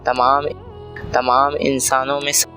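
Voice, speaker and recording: A man delivers scripted religious narration very slowly and formally. His mid-pitched voice is measured, with faint music underneath. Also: monotone, pushed and bright.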